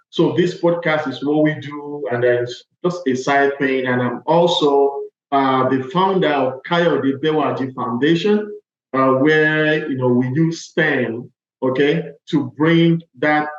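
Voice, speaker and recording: A Nigerian man talks as an interview guest, making his points emphatically and conversationally. His pitch is average for a man. The sound is quite echoey and a little dull, lacking crisp detail. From Lagos, Nigeria. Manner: emphatic, punctuates points with "okay" and "all right". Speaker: podcast guest, agile transformation consultant. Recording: echoey room, slightly dull audio.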